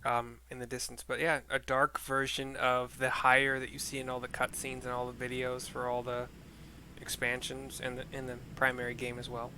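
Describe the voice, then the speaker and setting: A man's voice: mid-range voice, monotone, normal speed, bright, forceful tone, a little hiss or hum, poor audio. A man giving casual gameplay commentary, describing what is on screen in hesitant, piecemeal phrases as he searches for words. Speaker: video game streamer narrating for viewers. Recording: game music under the commentary. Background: clear game music.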